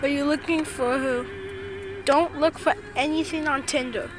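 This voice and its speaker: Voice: high voice, steady tone, normal speed, noisy background. Someone chatting casually and playfully to camera, often repeating phrases, recorded on a phone inside a car. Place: inside a car, back seat.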